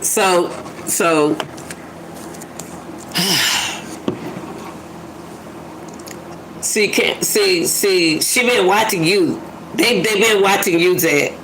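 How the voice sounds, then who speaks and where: A woman's voice: lowish voice, very slow, pauses often, background noise. A woman giving casual reaction commentary, musing aloud and repeating her phrases for emphasis. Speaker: commentator reacting to a phone call clip.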